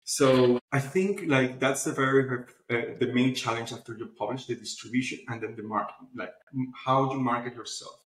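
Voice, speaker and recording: A man's voice: mid-range voice, a little fast, hardly any pauses, a bit bright. Man explaining in a roomy echo. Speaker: interview guest, publishing platform founder. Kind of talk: conversational interview answers.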